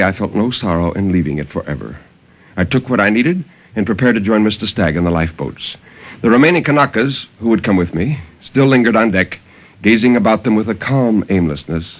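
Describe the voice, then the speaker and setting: A man's voice: fairly low pitch, animated, fairly quick. An actor playing a stern sea captain who recounts his ordeal in formal, theatrical 1950s radio horror narration. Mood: grave. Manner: theatrical, intense, formal diction. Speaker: storyteller. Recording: dull, lightly noisy 1950s radio recording.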